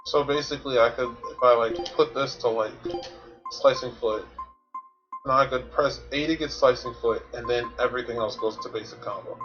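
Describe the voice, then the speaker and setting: A man's voice: mid-range voice, normal speed, lo-fi sound, over music. A man doing casual gameplay commentary as a streamer, thinking aloud and explaining things to himself. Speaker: video game streamer.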